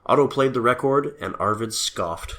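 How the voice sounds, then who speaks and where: A man's voice: mid-range voice, expressive, moderate pace, a bit bright, slight background noise. A man reading scripted story narration.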